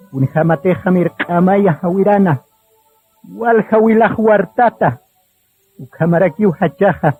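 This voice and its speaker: A man narrates a radio drama in a solemn, deliberate storytelling style. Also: sits high, slightly rough, dry recording. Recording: tinny narrow-band radio sound with music. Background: music.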